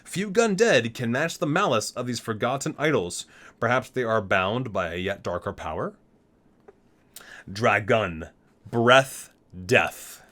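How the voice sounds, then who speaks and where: Man reading aloud with swooping, theatrical pitch. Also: expressive, a little slow, fairly bright tone. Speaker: video creator reading a game book aloud. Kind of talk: dramatic read-aloud with casual asides.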